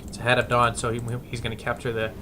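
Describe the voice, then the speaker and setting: A man casting a strategy game replay, halting with fillers and restarts and breaking into bursts of excitement. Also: mid-range voice, background noise. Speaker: game commentator. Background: background music and keyboard typing.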